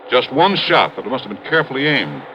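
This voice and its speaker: An American actor playing a blustering, big-hearted older mine owner in a 1950s radio drama, gruff, emphatic and booming. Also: male voice, big pitch swings, fast talker, natural voice. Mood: grave, baffled. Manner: emphatic, gruff, swinging from bellowing to grave. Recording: muffled, noisy old radio recording.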